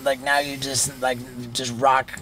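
A podcast host in his late forties, asking quick questions with eager, stammering restarts at a studio mic. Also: mid-range voice, monotone, pushed and bright. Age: 40s. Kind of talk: casual chat. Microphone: studio mic on boom.

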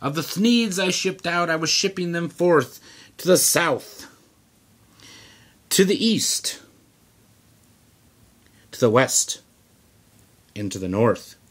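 A man's voice: a little high, animated, takes it slowly, long pauses, a bit bright. A man reading a rhyming children's book aloud in a theatrical, emphatic way. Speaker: storybook reader.

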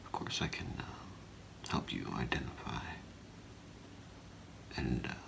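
Middle-aged man, deep creaky voice, whispered ASMR role play, halting, softly reassuring. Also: animated. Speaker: ASMR performer role-playing a gemologist. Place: small indoor room with curtain.

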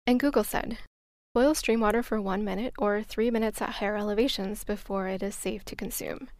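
A woman's voice: medium pitch, steady tone, brisk, darkish tone, no echo, clean studio sound. An American woman hosting a podcast, reading a scripted travel story as narration. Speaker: podcast host.

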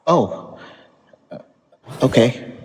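A man playing a junior robot field medic in an animated drama, hesitant, deferential and sincere. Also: drawn-out words. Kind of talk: dramatic animated-film acting.

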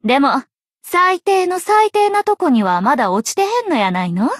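Lilting, high-pitched young Kansai journalist. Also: female voice, very animated, moderate pace, no echo, clean studio sound. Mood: frank, consoling. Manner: soft, coquettish, lilting dialect with playful teasing. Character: teasing, breezy young female journalist and playful liar. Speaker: game voice actor. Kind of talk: Japanese anime game voice acting.

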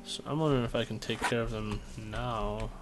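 A man's voice: medium pitch, steady tone, fairly quick, background noise. A young man casually commentating on a game from his bedroom, offhand and self-deprecating. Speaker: let's play commentator. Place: bedroom at home.

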